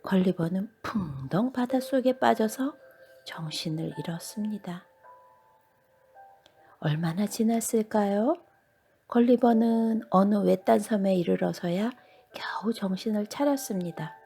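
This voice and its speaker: A woman storyteller narrating children's bedtime tales dramatically, often dropping to hushed endings. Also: mid-range voice, unhurried, vocal fry, soft background music.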